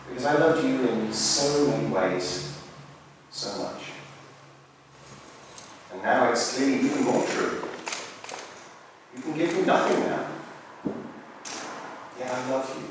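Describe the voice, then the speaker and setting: A man playing a tender, grieving young widower, reading a letter to his dead wife haltingly, with long pauses. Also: medium pitch, natural voice. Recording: very echoey hall, distant mic, faint noise.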